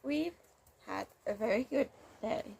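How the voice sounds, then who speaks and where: A young woman with a cold, chatting excitably about her reading in a casual vlog at home. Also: a little high, measured pace, vocal fry, loud music behind the voice. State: has a cold, snuffly. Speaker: book vlogger. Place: home room under a window, lamp lit.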